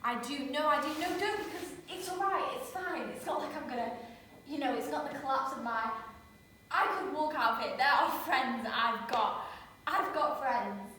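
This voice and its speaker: A woman's voice: high voice, fairly quick, a bit bright, slight background noise. A woman playing an insecure, anxious woman who talks too much, rambling and cutting herself off in a stage monologue. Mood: defensive. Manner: rambling, self-interrupting, defensive outbursts. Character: anxious overtalking woman Leah, insecure and defensive. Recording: echoey room from far off.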